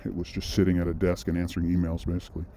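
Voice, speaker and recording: Deep, dark-voiced man telling a story. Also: monotone, very fast, a little hiss or hum. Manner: rambling, with frequent restarts and asides. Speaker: vlogger. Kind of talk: casual storytelling monologue.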